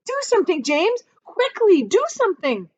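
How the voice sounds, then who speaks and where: A woman playing an anxious, grumpy earthworm, animated. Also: extremely high pitch, moderate pace, pitched above the performer's own voice. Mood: panicked pleading.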